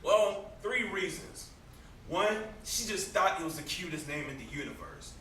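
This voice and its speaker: A man's voice: sits high, expressive, measured pace, fairly bright tone. A man acting on stage as a teasing but protective older brother, with a sarcastic, needling, theatrical delivery. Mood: animated reminiscing. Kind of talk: amateur stage play acting. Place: indoor stage, recorded from afar. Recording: echoey stage, distant noisy camera microphone.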